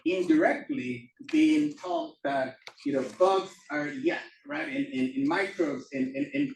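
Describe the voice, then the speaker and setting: A man from Costa Rica giving a classroom lecture as a professor, halting with many fillers and restarts. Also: a little high, slight background noise. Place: lecture room. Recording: echoey room from far off.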